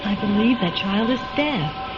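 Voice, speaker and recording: An actress playing a bitter, nagging wife in a vintage-style radio drama, theatrical and full of complaint. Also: female voice, medium pitch, lively intonation, normal speed, noisy background, close to an everyday voice. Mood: insistent. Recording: dull lo-fi radio recording, loud music.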